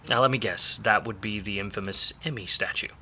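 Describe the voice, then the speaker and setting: An actor playing a hardboiled, wisecracking private detective in a 1940s film noir radio play, wry and slangy. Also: male voice, fairly low pitch, a little fast, bright, forceful tone, slight background noise. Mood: sly, needling.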